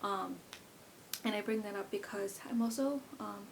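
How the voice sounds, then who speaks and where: A woman's voice: mid-range voice, normal speed, gravelly, a little hiss or hum. A woman in her thirties, pregnant, talking casually to camera as a beauty vlogger, rambling with lots of fillers. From South Korea. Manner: chatty and rambling, many fillers.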